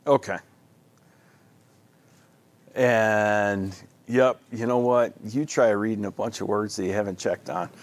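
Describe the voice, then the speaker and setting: A man's voice: lowish voice, steady tone, slow pace, long pauses. A man leading a Bible study in a casual, folksy, conversational way, often restarting his sentences.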